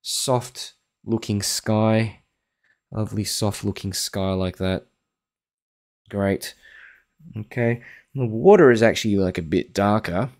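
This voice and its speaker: A young man with a low, gravelly voice and a slight croak leads a live painting lesson. He chats loosely and thinks aloud as he works at his desk, picked up by a webcam mic. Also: slow pace, long pauses. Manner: relaxed, chatty, thinks aloud while working. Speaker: watercolor teacher. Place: home studio, indoors.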